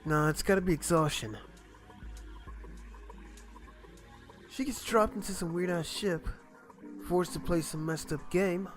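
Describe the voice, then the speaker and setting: A blunt, slangy young captive in a game dub, acted by a man. The voice sits a bit high, with a sardonic edge and soft music underneath. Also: moderate pace, natural voice. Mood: frustrated. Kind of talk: amateur visual novel game dub acting.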